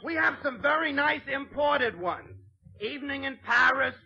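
A man's voice: extremely high pitch, moderate pace, vocal fry. An actor playing a pushy, wisecracking male perfume clerk in a 1940s radio comedy, with a brash delivery. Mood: salesman patter. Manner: loud, brash comic delivery. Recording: dull vintage radio recording, audience behind.

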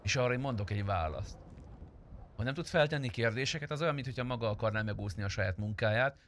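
A man's voice: mid-range voice, slight background noise, natural voice. A man playing a cocky, evasive suspect in an improvised police roleplay game, arguing brashly. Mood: argumentative. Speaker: online roleplay game player.

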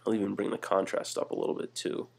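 Young guy, low voice, easygoing tutorial host, lots of fillers. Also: quick pace, vocal fry, husky. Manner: relaxed, many "uh" fillers and restarts. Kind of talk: casual screen-recorded software walkthrough.

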